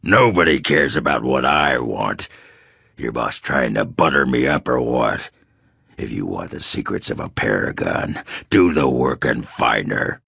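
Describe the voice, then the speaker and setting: A crude male dwarf warrior for a fantasy game. His voice is extremely low and packed with gravel. He swaggers through his words at a slow, boozy drawl, boastful and rough. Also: fairly bright tone, no room sound, studio quality, heavily acted. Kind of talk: video game character acting.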